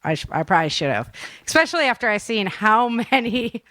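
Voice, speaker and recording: A woman's voice: on the high side, big pitch swings, brisk, fairly bright tone, no echo. A woman hosting a podcast in casual chat, with an informal, rambling, giggly way of talking. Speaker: podcast host.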